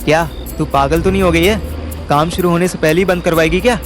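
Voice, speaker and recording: A man's voice: on the high side, steady tone, quick pace, faint music behind, natural voice. A man playing a young schemer who narrates an audio drama in a wry, colloquial way with an Indian accent. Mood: alarmed. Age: young adult. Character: young male schemer narrating his detective venture, wry, placating. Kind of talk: first-person audio drama narration and dialogue in Hindi-English mix.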